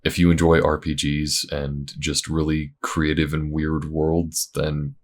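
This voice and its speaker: Super deep voiced guy chatting casually to his listeners, really into it. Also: completely flat delivery, a little slow. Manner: enthusiastic. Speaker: voice actor and creator. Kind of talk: casual outro addressed to listeners.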